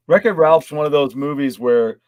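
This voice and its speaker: A man's voice: a little high, slow, highs cut off. A man chatting casually on a panel in an enthusiastic, rambling way. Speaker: podcast panelist. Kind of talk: casual chat.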